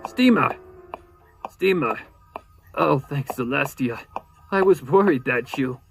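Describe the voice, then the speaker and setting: A man's voice: medium pitch, lively intonation, takes it slowly, creaky, slightly rough, faint music behind, exaggerated voice. A man voicing a frightened, desperate pony stallion in a horror story reading, stammering and crying out. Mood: relieved, calling out. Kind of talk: horror fan-fiction dramatic reading.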